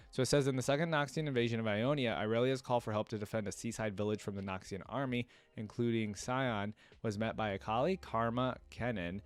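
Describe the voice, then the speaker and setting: A man hosting a reaction video, reading aloud and commenting casually as he thinks out loud. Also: fairly low pitch, no echo. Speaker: reaction video host and streamer.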